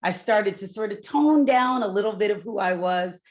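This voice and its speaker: A middle-aged woman from Newport, Rhode Island, a loud and candid corporate executive telling her career story on a panel with asides to the audience. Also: medium pitch, lively intonation, normal speed. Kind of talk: panel talk, personal story.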